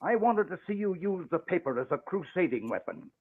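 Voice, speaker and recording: An elderly, proud father speaks with theatrical fervor in a 1940s radio drama. His voice swings widely in pitch and has a slightly rough, unclean edge. The recording is thin and muffled, like an old broadcast. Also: lively intonation, fairly quick. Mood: stern, impassioned. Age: older. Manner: impassioned, dramatic delivery. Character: emotional proud old father, retired crime-fighting newspaper owner.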